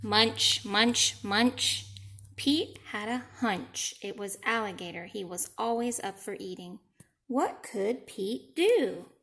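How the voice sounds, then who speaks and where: A woman teacher reading a picture book to young children, deliberate and explanatory, pausing to ask questions. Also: mid-range voice, lively intonation, fairly bright tone. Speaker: elementary school teacher. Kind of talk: read-aloud lesson for young children.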